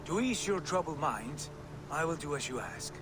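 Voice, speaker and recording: A man voicing a calm, pragmatic warrior god in a video game, speaking firmly and reasonably. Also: fairly high pitch, close to an everyday voice. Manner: firm, reasoning, measured. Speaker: game character. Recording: game audio with steady noise.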